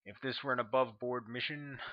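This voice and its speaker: A man voicing a young, charismatic army colonel who is smooth and ruthless, speaking with confident command in an audiobook reading. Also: mid-range voice, steady tone, a little fast, no room sound, dull audio, lightly acted.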